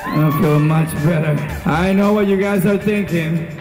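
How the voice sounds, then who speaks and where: Man voicing a cocky giant puppet, higher male pitch, big echoey reverb, music behind, comedic. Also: measured pace. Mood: smug. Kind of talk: comedic puppet show performance.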